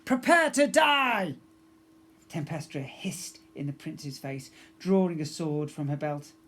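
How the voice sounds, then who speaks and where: A man's voice: a little high, steady tone, a little slow, dark tone. A man narrating a children's story expressively, as a teacher reading to young pupils.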